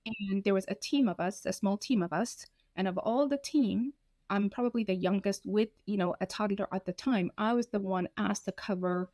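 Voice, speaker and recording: A woman in her thirties from Ethiopia, telling her own story in an interview, candid and animated, often acting out what people said. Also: mid-range voice, normal speed, no room sound. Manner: frank, animated, acts out quoted dialogue. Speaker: guest.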